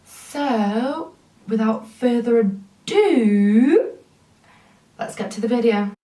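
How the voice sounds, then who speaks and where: A woman's voice: a little high, animated, extremely slow, mellow, recorded from a distance. A woman casually introducing her video with hesitant fillers. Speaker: beauty vlogger. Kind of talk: channel intro. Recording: roomy, away from the mic.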